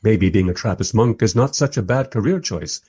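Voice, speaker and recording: A man playing a wry documentary maker, narrating a radio play in the first person with expressive, husky delivery. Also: fairly low pitch, normal speed, no room sound. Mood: observant, wry. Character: wry, enthusiastic documentary maker narrating his historical encounters.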